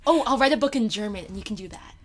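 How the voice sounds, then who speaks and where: A woman's voice: mid-range voice, lively intonation, racing, slight background noise. A young woman co-hosting a podcast in casual chat, with a playful, gushing and emphatic way of talking. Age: young adult. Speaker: podcast co-host.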